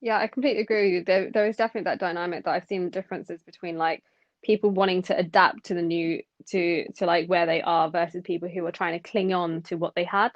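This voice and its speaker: Young woman, podcast co-host, quick talker, reflective, dull dry recording. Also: on the low side, fairly even intonation, dry recording. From London. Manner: reflective, thinks aloud with fillers. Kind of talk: casual podcast chat.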